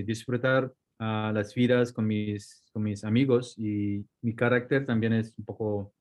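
Hombre con acento coreano, hablar vacilante. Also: male voice, medium pitch, darkish tone. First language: Korean. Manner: hesitant, restarts words, mixes in English. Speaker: guest surgeon. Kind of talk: casual interview.

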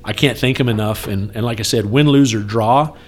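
A man's voice: lowish voice, a little fast. A man talking casually on a podcast in an earnest, persuasive, conversational way, repeating points for emphasis. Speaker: speaker appealing for campaign support.

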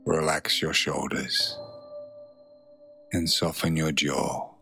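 A man narrating a guided meditation in a soothing, measured way, leaving long pauses between phrases. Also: a bass voice, little pitch movement, creaky, bright, forceful tone, faint music behind.

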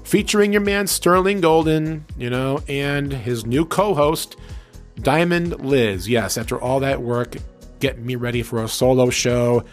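A man's voice: mid-range voice, measured pace, faint music behind. A man working as a radio show host, talking conversationally with a bit of showmanship. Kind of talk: conversational radio interview and reminiscence.

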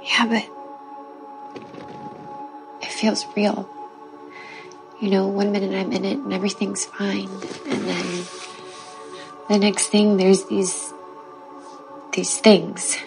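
An actress playing a frightened woman haunted by nightmares, confiding haltingly in horror film dialogue. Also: medium pitch, flat delivery, gravelly, over music, poor audio. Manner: halting, repeats words.

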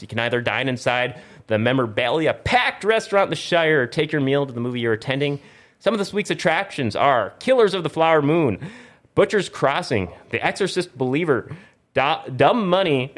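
A man's voice: medium pitch, a bit bright. A man hosting a casual gaming talk show, reading news and plugs in a rambling way and often stumbling over words and correcting himself. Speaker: podcast host. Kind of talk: casual video game talk show with plugs and sponsor reads.

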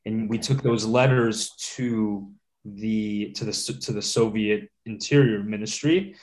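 A middle-aged man from Latvia talks through his memories at an easy pace, circling back and restarting as he thinks. His voice sits a little low for a man. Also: a little slow, darkish tone, poor audio. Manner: thoughtful, many fillers and restarts. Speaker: guest. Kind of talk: casual interview conversation.

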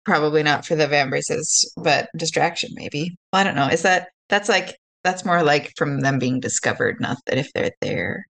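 A woman chatting casually as a tabletop game player, thinking out loud through her choices. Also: low-pitched, moderate pace, vocal fry, few pauses. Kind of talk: casual chat.

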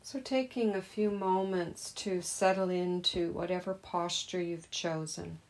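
A woman gently leading a guided meditation as a teacher, in a soothing, unhurried manner. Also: lowish voice, fairly even intonation.

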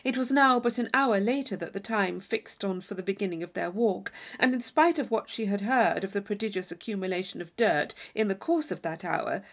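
A woman's voice: medium pitch, fairly quick, creaky, hardly any pauses. A woman reading a classic novel aloud as scripted audiobook narration.

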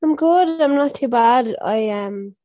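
Young woman, podcast co-host, stuffy nose, mellow tone, rambling casual chat, dry close sound. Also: a little high, animated, unhurried, dry recording, lo-fi sound. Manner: chatty, rambling with fillers like "like" and "um".

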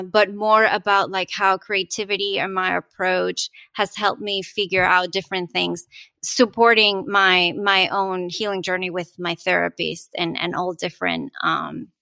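A woman from Venezuela talks as a podcast guest, answering earnestly and reflectively. Her voice is bright and sits in a middle pitch, recorded dry and close. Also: smooth, no echo. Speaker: guest, architect and artist. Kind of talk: interview conversation.

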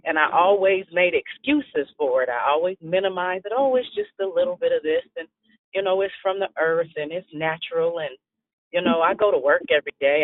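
A man calls into a prayer line and gives an earnest, preacherly testimony. His voice sits a little high, and it comes through a thin phone line. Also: no echo. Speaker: caller.

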